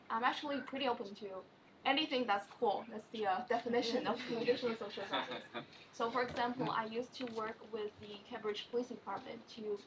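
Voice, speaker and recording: A woman in her thirties introduces her research across a conference table, soft-spoken and trailing off at phrase ends. Her voice sits fairly high, and a clear steady hiss runs behind it. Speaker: assistant professor participant. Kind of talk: self-introduction at a seminar. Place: conference room around a table.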